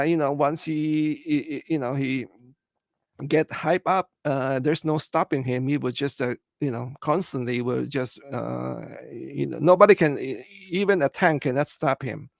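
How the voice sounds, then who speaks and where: A middle-aged man with a Filipino English accent speaks in a slightly high, gravelly voice, recorded dry and close with no room sound. He chats casually on a livestream, pausing often on "uh" and "you know" as he reads out viewer comments. He sounds earnest and reassuring. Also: no echo. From Philippines. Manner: halting, full of "uh" and "you know" fillers. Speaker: livestream host.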